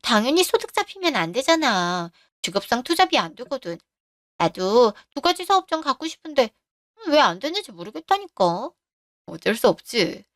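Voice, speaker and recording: A woman's voice: on the high side, sing-song, moderate pace, fairly bright tone, dry recording, studio quality. A woman voicing a scheming middle-aged husband who brushes off his wife's worries, in a radio drama. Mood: smug.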